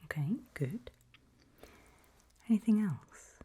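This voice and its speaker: Slow, whispering woman playing a reassuring doctor. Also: medium pitch, lively intonation, dark tone, a little hiss or hum. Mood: gentle, reassuring. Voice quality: breathy whisper. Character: calm reassuring female doctor running a creepy memory test. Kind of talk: ASMR roleplay, whispered.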